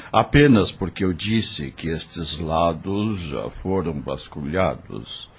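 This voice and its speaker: An actor playing a man who hides fugitives, giving steady, practical warnings in an audio drama. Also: low voice, breathy edge, full character voice. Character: protective male helper hiding fugitives.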